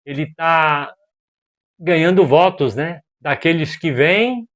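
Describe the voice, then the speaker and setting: A man from Brazil giving casual opinion commentary as a video host, animated and exasperated, full of rhetorical questions and slang. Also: a little high, slow pace, muffled recording.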